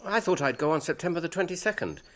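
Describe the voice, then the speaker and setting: An actor playing a mild, thoughtful, genteel hobbit with a British accent, gentle and well-spoken, in a radio drama. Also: male voice, fairly high pitch, expressive, quick pace. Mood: concerned.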